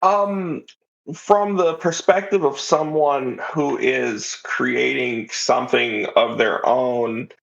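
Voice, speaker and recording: A man with a creaky, fry-laden voice gives a casual, reflective interview answer as a writer. His pitch is average, and the audio is a little dull and lo-fi. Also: steady tone, takes it slowly.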